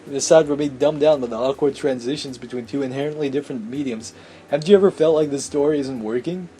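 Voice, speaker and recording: A man voicing a bookish, zealous high-school boy in a visual novel, preachy and insistent about anime. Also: fast talker, warm tone, lightly acted.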